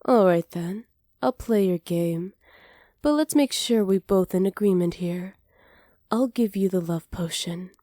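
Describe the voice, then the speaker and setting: A sly evil witch, acted by a woman in an intimate ASMR roleplay. Her voice is on the low side and very mellow, recorded close and dry. She teases smugly and cruelly, unhurried and often close to a whisper. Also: no room sound, lightly acted. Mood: sly, businesslike. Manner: teasing, smug, unhurried, often dropping to near-whispers. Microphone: close microphone, dry.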